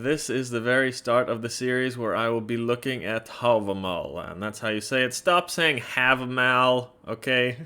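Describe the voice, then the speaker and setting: A man's voice: mid-range voice, steady tone, moderate pace, hardly any pauses, sharp tone, smooth. Chatty Norwegian man explaining casually. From Norway. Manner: chatty, blunt, laughs often, many fillers. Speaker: educator presenting a series.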